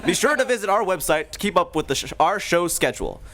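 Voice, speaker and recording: High bright announcer guy doing the old radio sign-off, bit of room hiss. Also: sing-song, fast. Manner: theatrical announcer delivery. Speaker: radio show host and announcer.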